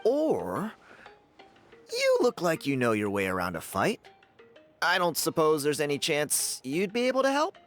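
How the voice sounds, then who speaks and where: A man voicing a friendly, eager, talkative young adventurer in a video game, with a colloquial, animated delivery. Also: on the high side, a little slow, faint music behind. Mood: eager, hopeful.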